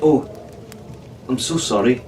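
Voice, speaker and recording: A feisty teenage girl at sea with a sharp tongue, as a male reader acts her out. The storybook character speaks pointedly, in a roomy recording with faint noise behind. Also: mid-range voice, slow, natural voice. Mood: sincere. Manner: pointed, snappy retorts. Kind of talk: audiobook character voice. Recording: roomy, noisy, distant microphone.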